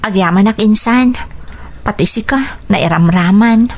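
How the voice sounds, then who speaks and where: A woman's voice: mid-range voice, measured pace, slight background noise, lightly acted. A young woman with a Filipino accent acting a dutiful, downcast daughter in an Ilocano radio drama, respectful and subdued. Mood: disappointed. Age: young adult.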